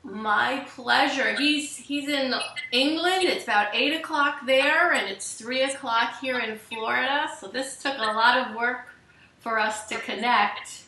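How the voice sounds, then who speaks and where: A woman's voice: fairly high pitch, unhurried, bright, forceful tone, reverberant, distant mic, poor audio. A woman hosting a fitness show, bubbly and gushing as she introduces and questions her guest. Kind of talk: enthusiastic podcast hosting.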